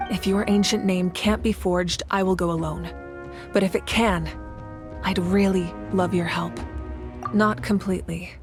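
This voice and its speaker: A woman's voice: medium pitch, steady tone, unhurried, natural voice. A woman portrays a composed war leader in a video game story. She speaks in a mid-range voice, earnest and determined, explaining matters with assurance. Music plays behind her. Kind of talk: dramatic video game acting.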